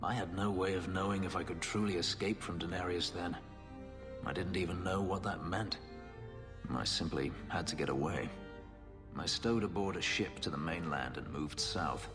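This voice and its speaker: Very deep, brooding male fugitive. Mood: somber, guarded. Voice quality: low, grave voice. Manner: measured, solemn, halting confession. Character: brooding male elf fugitive, a former slave, bitter and guarded. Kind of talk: dramatic video game acting. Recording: long reverb, music behind the voice. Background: music.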